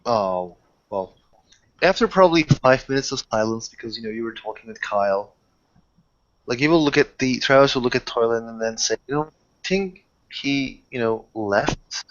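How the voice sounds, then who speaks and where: A man's voice: mid-range voice, expressive, moderate pace. A man casually narrating his character's actions in a tabletop roleplaying game, hesitant and restarting phrases. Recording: dull lo-fi voice recording.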